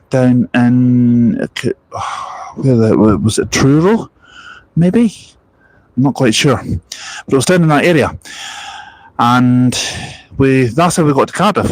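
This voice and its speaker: A chatty young man talks on a live stream from outdoors at a bus park and ride. His voice sits in a middling pitch. He rambles loosely between anecdotes, remarks on the buses going by and answers viewer comments. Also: dry recording, poor audio. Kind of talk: casual live-stream chat.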